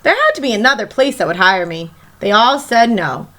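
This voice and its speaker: A woman teacher reading a picture book aloud to children in an expressive, emphatic storytelling manner. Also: mid-range voice. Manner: animated, emphatic, pauses to question young listeners.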